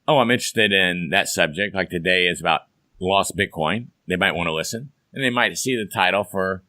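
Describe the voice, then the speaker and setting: A hoarse man hosts a folksy, upbeat podcast chat. His voice sits on the low side yet comes across bright and forceful. The sound is dry and close, with no room around it. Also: a little fast, dry recording. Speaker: podcast host. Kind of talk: casual chat.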